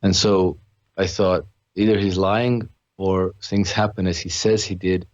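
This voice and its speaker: A man's voice: deep voice, level tone, unhurried, no room sound, muffled recording. A man from Croatia, a podcast guest who trades and writes, talking earnestly in a conversational interview with frequent restarts and fillers.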